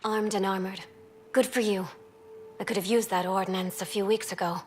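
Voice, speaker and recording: A woman's voice: mid-range voice, fairly even intonation, moderate pace, a little hiss or hum, close to an everyday voice. A woman playing a weary alien junior officer, a refugee survivor, in video game cutscene dialogue.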